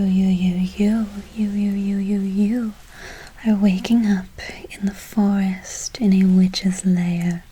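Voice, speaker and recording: A woman playing a kindly, mysterious forest witch in a slow, breathy whisper, for an ASMR role play with soothing repeated words and quaint phrasing. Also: medium pitch, warm tone, slight background noise, lightly acted, poor audio. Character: kindly, mysterious female forest witch casting good-luck spells.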